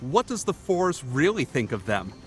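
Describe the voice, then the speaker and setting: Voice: mid-range voice, big pitch swings, moderate pace, faint music behind, close to an everyday voice. An actor playing a teasing, easygoing boy god in an audio drama, with a sardonic, casual manner. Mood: coaxing. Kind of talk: audio drama character acting.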